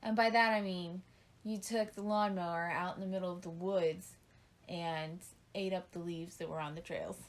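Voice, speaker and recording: A woman co-hosting a podcast with her husband, laughing often and gently teasing and correcting him. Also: fairly low pitch, fairly even intonation, normal speed. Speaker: co-host, the host's wife. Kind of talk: casual chat with her husband.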